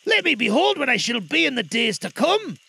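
A man's voice: very high voice, sing-song, a little fast, creaky, pushed and bright, breathy edge, voice pushed up, exaggerated voice. A man voicing an anxious, humbled old miser who pleads in urgent, formal phrases in a storybook reading. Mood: pleading.

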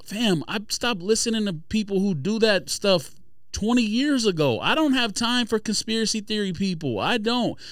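A man's voice: high voice, animated, normal speed, fairly bright tone, dry recording, studio quality. A man debating casually as a podcast host, argumentative and blunt, with emphatic delivery.

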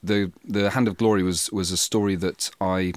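A man's voice: low voice, steady tone, normal speed, no room sound. A man hosting a podcast in a casual, bantering way as he introduces things. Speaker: podcast host.

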